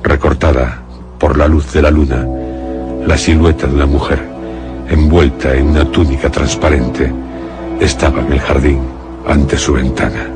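Very deep solemn male radio narrator. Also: fairly even intonation. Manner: deliberate, solemn, dramatic storytelling. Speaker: narrator of a radio horror drama. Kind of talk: scripted suspense radio narration. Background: faint music.

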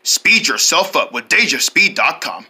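A performer voicing an exuberant commercial announcer, pitching toys and meals to children in a salesy way. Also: very animated, moderate pace, pushed and bright, husky, full character voice. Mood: upbeat. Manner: salesy, listing product features. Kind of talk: promotional ad read in play-acting.

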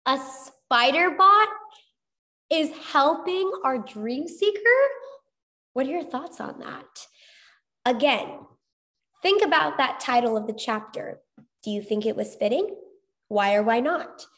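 A young adult woman reading a children's story aloud as expressive scripted narration. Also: sits high, takes it slowly, pauses often.

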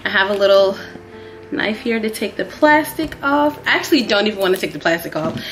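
A young woman vlogging an unboxing in a small living room, chatty and excitable, a little sniffly from a cold. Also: mid-range voice, slight background noise, low-quality recording. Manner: chatty and excitable, addresses viewers as y'all. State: sniffly, getting over a cold. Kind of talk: casual unboxing vlog.

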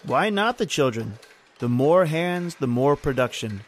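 A man's voice: fairly high pitch, expressive, unhurried, slight background noise. A man playing a grim, bitter factory overseer with folksy speech in an old-time style radio horror drama. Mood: bitter, taunting. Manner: folksy, bitter, uses "aye" and "ain't". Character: grim, taunting male factory overseer on an island. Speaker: actor in audio horror drama.

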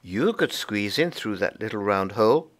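A man's voice: on the low side, lively intonation, moderate pace. A man voicing a proper, polite female squirrel wife in a storybook reading, with a genteel, prim, raised voice.

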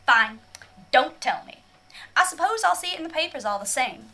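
Casting: actress as folksy, nosy Southern US woman, high bright voice, radio drama, faint noise behind. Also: lively intonation, moderate pace, exaggerated voice. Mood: nagging, cheerful. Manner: folksy, chatty. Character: folksy, chatty, nosy small-town woman bounty keeper.

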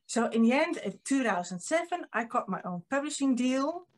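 A woman's voice: high-pitched, sing-song, normal speed. A Dutch woman in her fifties with a Dutch accent, giving an earnest talk as a publisher, with frequent ums, recorded on a webcam at home. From Netherlands. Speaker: guest speaker, publisher. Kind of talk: online presentation. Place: home room with painting. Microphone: video call webcam.

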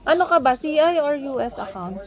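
A high-pitched woman with a Filipino accent talks in a casual, conversational way on a call. She is in a room at home, with faint music playing behind her. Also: expressive, brisk. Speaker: family vlogger making prank phone calls. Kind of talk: casual phone chat.

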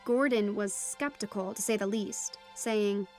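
A woman playing a teenage daughter, telling her adventure in measured first-person narration for an audio drama. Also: medium pitch, natural voice. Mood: recounting. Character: teenage daughter recounting a deep-sea adventure. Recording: music behind the voice.

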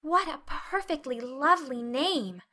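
A woman voicing a dreamy, chatty, excitable eleven-year-old girl in a dramatic audiobook, effusive and breathless in manner. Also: sits high, measured pace, pitched above the performer's own voice, heavily acted. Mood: dreamy, delighted. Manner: effusive, breathless, wide-eyed.

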